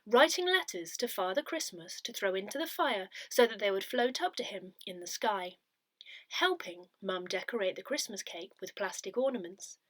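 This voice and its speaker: A woman reading newsletter articles aloud in a measured, expressive way, with clear phrasing. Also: medium pitch, no echo. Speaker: reader of an audio parish newsletter. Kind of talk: scripted narration, read-aloud articles.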